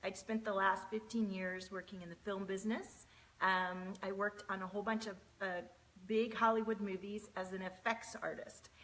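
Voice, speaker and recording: A woman's voice: medium pitch, little pitch movement, normal speed, fairly bright tone, poor audio. A woman, hosting a casual live stream, chatty and greeting viewers by name between many fillers. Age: 40s.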